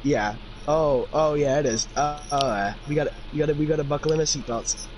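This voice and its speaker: A man's voice: fairly high pitch, brisk, slight background noise, low-quality recording. A man doing a casual amateur fanfiction reading, with informal, opinionated commentary. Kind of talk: casual amateur read-aloud with chatty commentary.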